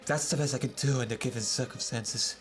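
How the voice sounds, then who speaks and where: Big heroic surgeon played by a man, calm and formal, middling pitch, for a radio adventure with light music. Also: brisk, close to an everyday voice. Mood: calm, grave. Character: calm, large, heroic famous male surgeon. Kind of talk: retro radio-serial acting.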